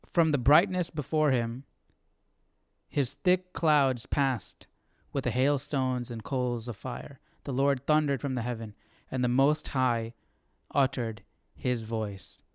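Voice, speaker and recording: Clear-voiced man in a dry, close recording. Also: medium pitch, steady tone, slow, no echo. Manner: informal, thinking aloud. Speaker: Bible study host. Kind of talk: casual study commentary and reading aloud.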